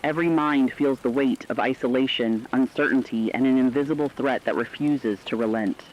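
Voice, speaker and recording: A man's voice: medium pitch, brisk, close to an everyday voice. A man playing a somber radio news analyst, giving a formal, emphatic scripted account of an apocalypse. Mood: somber, urgent. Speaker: news reporter. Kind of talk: scripted dramatic news narration. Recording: dull lo-fi radio sound, rainy hiss.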